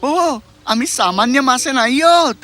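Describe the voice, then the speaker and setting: A performer voicing a young magical fish prince in an animated fairy tale, earnest and pleading. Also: sits high, moderate pace, faint music behind.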